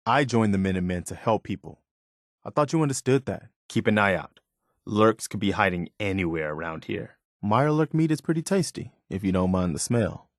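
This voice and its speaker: An actor playing an earnest, loyal, plain-spoken militia man in a video game, sincere and measured. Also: lowish voice, expressive, dry recording, clean studio sound. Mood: disappointed, then wary, wry. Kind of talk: naturalistic video game dialogue.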